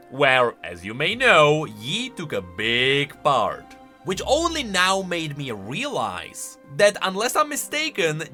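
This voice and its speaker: A man's voice: on the high side, pushed and bright. A man hosting a video of casual, explanatory game lore commentary in an emphatic, conversational way. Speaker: video host.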